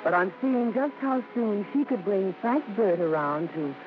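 A woman's voice: mid-range voice, lively intonation, unhurried, background noise, lightly acted. An American woman playing a fond daughter who narrates a story in old radio drama style. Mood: fond, storytelling. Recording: muffled old radio, music behind.

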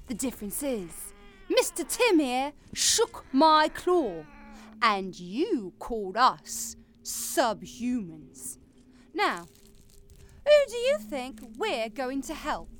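Polite mole person, defiant, high swooping pitch, audio drama, faint background noise. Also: female voice, huge pitch swings, slow, heavily acted. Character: polite but defiant female mole creature with big claws.

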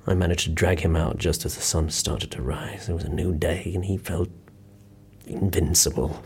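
An actor playing a sombre man recounting an anecdote in an audio drama, hushed and unhurried, with dramatic pauses. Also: deep voice, fairly even intonation, gravelly, slight background noise. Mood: wry, building. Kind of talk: audio drama acting, confiding storytelling.

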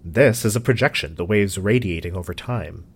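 An actor playing a pompous, eccentric scientist in an audio drama, speaking formally and with precise, theatrical diction. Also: male voice, fairly low pitch, normal speed, a little hiss or hum, close to an everyday voice. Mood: earnest, grandiose. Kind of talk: scripted audio drama acting.

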